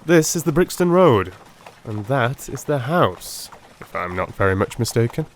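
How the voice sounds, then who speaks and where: A man voicing an earnest, excitable gentleman, a detective's companion, in a dramatised audiobook, polite and urgent. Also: medium pitch, normal speed, slight background noise. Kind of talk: dramatised audiobook character reading.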